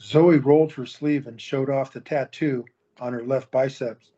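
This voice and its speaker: Man, audio drama narrator, measured storytelling, mid pitch, muffled lo-fi sound. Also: fairly even intonation, dark tone, breathy edge. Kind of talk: scripted narration. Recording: dull lo-fi recording.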